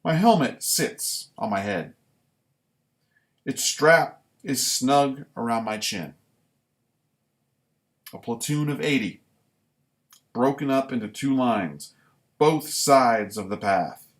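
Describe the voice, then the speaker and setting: Male live reader of his own work, medium pitch, unhurried and halting, casual and deliberate. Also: expressive. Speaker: writer reading own work; former military recruit. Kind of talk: live reading of own writing with casual commentary.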